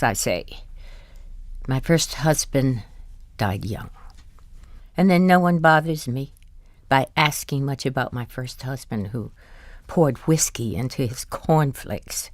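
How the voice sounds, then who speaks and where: Wistful middle-aged wife, lowish voice, hesitant n confiding, radio play, very dry sound. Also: female voice, darkish tone, dry recording, heavily acted. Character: polite, wistful wife, patient and quietly candid. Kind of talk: audio drama acting, confiding monologue.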